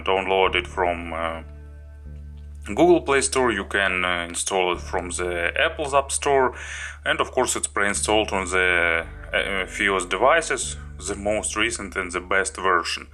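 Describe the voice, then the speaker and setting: Deep, slow-paced man reviewing a gadget. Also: bright, forceful tone. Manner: halting, listing items one by one. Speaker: product reviewer, host. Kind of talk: unscripted product review walkthrough.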